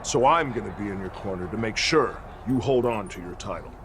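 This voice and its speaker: A male actor performs a stern veteran wrestler who heads a faction. His voice sits low and he speaks with blunt authority, as in a video game story scene. A faint noise runs behind him. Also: animated, a little fast, close to an everyday voice. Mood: firm. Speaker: wrestler, leader of a stable. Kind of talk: video game cutscene acting.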